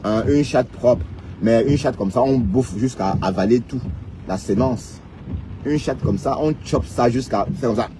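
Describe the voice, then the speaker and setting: A man's voice: medium pitch, measured pace, noisy background. A man from Cameroon with a Cameroonian French accent, ranting and teasing viewers in a casual live stream, often tagging on "hein". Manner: teasing, mocking, peppered with "hein".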